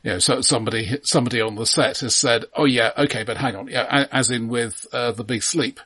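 Husky man, halting and analytical. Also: mid-range voice, pushed and bright. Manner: halting, repeats word beginnings, analytical. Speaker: film podcast co-host. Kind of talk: casual film discussion.

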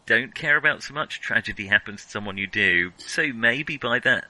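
A man's voice: medium pitch, expressive, normal speed, sharp tone, no room sound, rough recording. A man co-hosting a film podcast, giving opinionated, analytical and wry views in casual discussion.

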